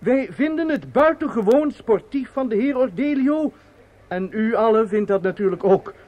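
A man's voice: very high-pitched, lively intonation, moderate pace, lo-fi sound. An actor in a radio drama playing a flustered, self-satisfied male opera impresario, muttering and hesitant or pompously speechifying.

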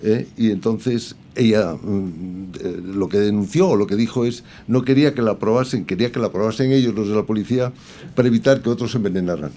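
A man giving casual, amused radio commentary on news stories in a halting way. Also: fairly low pitch, dark tone. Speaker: radio show contributor. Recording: faint background noise.

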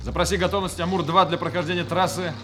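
An actor playing an army major in a Soviet radio play, stern but humane, with crisp military delivery. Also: male voice, high voice, fairly even intonation, noisy background, close to an everyday voice. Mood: commanding. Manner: crisp military orders, measured explanations.

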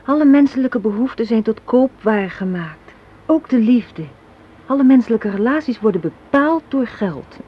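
A woman's voice: fairly high pitch, animated, moderate pace, husky. An actress playing a hard-minded, cynical young woman in a Dutch radio play, arguing and lecturing with rhetorical questions. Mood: bitter, insistent. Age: young adult. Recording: dull, noisy old radio recording.

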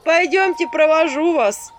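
Wailing village woman. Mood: pleading. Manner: shrill, drawn-out lamenting. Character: wailing, pleading village woman, middle-aged. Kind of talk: audiobook character voice.

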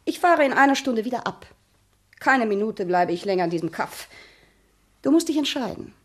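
An actress playing a haughty, impatient big-city fiancée in a German radio play, scornful and imperious. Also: female voice, fairly high pitch, animated, moderate pace. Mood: reproachful, demanding. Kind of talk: German radio drama acting.

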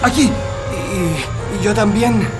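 A man's voice: high-pitched, slow, poor audio. Man acting a subdued prisoner over music. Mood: uneasy. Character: weary, resigned male prisoner among captured workers. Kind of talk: radio drama acting.

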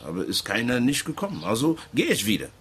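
A man's voice: medium pitch, huge pitch swings, fast, fairly bright tone, a little hiss or hum. An actor playing a wary, evasive working-class man with folksy, ungrammatical speech in a German radio drama. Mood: wary, stubborn.

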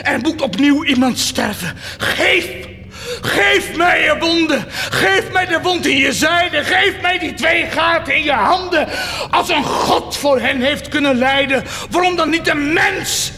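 An actor playing a tormented, fervent man who defies God, in declamatory radio drama style, swinging between hushed and roaring delivery. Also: extremely high pitch, measured pace, heavily acted. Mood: desperate, furious. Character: tormented, fervent man defying God and claiming divine wounds. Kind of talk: theatrical radio drama acting. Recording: cavernous long reverb under loud music.

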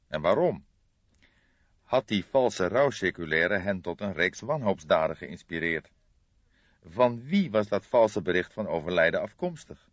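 A man reading a detective novel aloud as scripted narration, in a measured storytelling manner. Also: deep voice. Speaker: audiobook narrator. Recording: dull lo-fi, boxy roomy sound.

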